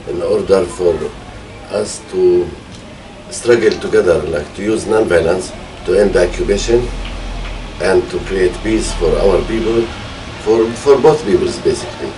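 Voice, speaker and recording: A middle-aged man from Palestine with a Palestinian Arabic accent, explaining his activism hesitantly, with many pauses. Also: low voice, warm tone. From Palestine, Jerusalem area. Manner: hesitant, frequent pauses and hedging fillers. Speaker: peace activist, organization co-founder. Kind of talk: explanatory interview answer. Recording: distant mic, faint background noise.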